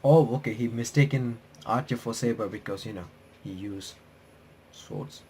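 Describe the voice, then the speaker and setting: A man's voice: mid-range voice, a little mellow, a little hiss or hum. A man reading visual novel text aloud as a playthrough host, with casual asides, often stumbling and restarting his sentences. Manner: halting, stumbles over words and restarts.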